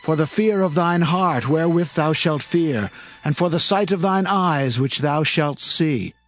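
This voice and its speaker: A man playing Moses, a solemn prophet and leader addressing his people in a deliberate, declamatory scripture drama. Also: on the high side, no echo, natural voice. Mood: grave warning. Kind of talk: dramatized scripture reading in King James English. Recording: music playing behind the voice.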